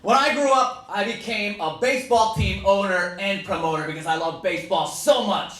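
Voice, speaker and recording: A jovial old-time baseball promoter spins folksy tales, acted by a man in a staged reading. The voice is high and bright, heard from a distance in an echoing room. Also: moderate pace. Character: jovial, boastful old-time baseball team owner and showman. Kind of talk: dramatic reading, first-person monologue. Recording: echoey room from far off, faint noise.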